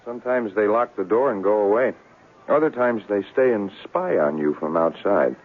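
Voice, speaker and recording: An actor playing a fragile, paranoid young artist who swings between babyish pleading and terse muttering, in 1970s radio drama style. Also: male voice, lowish voice, lively intonation, normal speed. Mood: flat, calculating. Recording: tinny, lightly noisy old radio recording.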